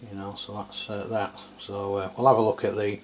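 Low-voiced man over background noise. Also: little pitch movement, moderate pace. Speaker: radio product reviewer. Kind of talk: casual unscripted product demonstration. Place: indoors at a tabletop. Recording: clear background noise, room ambience.

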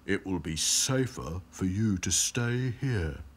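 A man voicing Sad Sack, a timid little toy doll who speaks meekly in a slow, breathy voice. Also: low-pitched, lively intonation, heavily acted. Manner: meek and slow.

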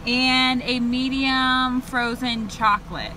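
A woman's voice: high-pitched, fairly even intonation, slow pace. A woman, chatting informally to camera as a vlogger in a car. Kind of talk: casual vlog chat to camera. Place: inside a car. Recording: faint car and ambient noise.

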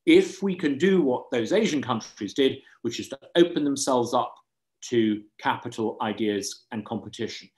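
A man's voice: medium pitch, normal speed, darkish tone. A middle-aged man from Uganda making persuasive panel remarks over a video call, earnest and emphatic, repeating words for stress. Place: indoor room, video call. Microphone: video call microphone.

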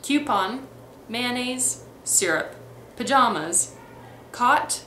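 A woman's voice: fairly high pitch, animated, extremely slow, sharp tone, echoey room, slight background noise, poor audio. Chatty young woman with a light Midwestern accent. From Texas and Missouri, US. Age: young adult. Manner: chatty, many ums and restarts. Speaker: vlogger. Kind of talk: casual accent tag vlog. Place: bedroom, indoors.

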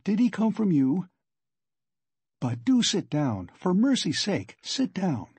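Sly, solicitous investigator for a novel, played by a male reader: animated, husky, rambling and wheedling. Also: high-pitched, takes it slowly, no room sound, voice pushed up, heavily acted. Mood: fussing, solicitous. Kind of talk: audiobook character acting.

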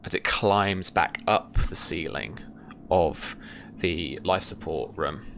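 A young man running a tabletop roleplaying game, narrating and talking through rules casually in a halting, repetitive way. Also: deep voice, creaky, a bit bright, slight background noise. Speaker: game master. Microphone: headset or desk mic, seated indoors on a video call.

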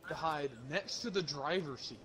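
A young man casually telling stories to friends while gaming, slangy and animated as he acts out the dialogue. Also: on the high side, normal speed. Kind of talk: casual storytelling among friends during online game.

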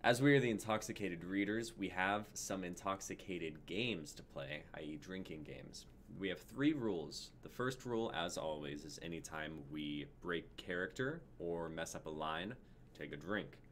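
A man's voice: sits low, moderate pace, fairly bright tone, slight background noise. A man in his twenties casually hosting a live stream on a group video call. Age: 20s. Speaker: stream host.

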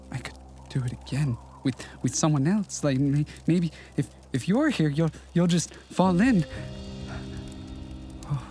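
A man's voice: fairly high pitch, natural voice. A man playing an anguished, lovelorn possessed figure in a horror audio drama, stammering and repeating words.

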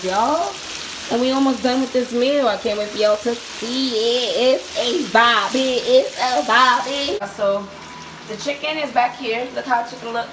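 Young woman, casual slangy cooking vlog, phone mic, kitchen, sizzling oil behind. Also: fairly high pitch. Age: young adult. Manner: slangy, chatty, talks straight to viewers. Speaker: cooking vlogger. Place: home kitchen, indoors. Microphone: handheld phone camera. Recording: sizzling pan noise behind. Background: oil frying and sizzling.

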